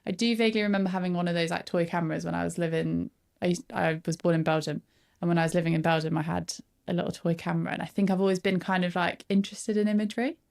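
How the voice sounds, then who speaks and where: A woman's voice: on the low side, fairly even intonation, fast, vocal fry, darkish tone. A woman, chatting casually as a guest and laughing often. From northern England. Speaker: photographer guest. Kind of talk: casual podcast interview.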